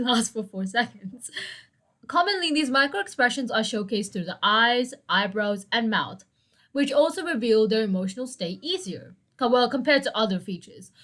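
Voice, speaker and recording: Hoarse young woman hosting a podcast. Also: fairly high pitch, a bit bright. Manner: chatty, self-deprecating asides, occasional laughs. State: hoarse, dry voice from illness. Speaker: podcast host. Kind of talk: solo educational podcast talk.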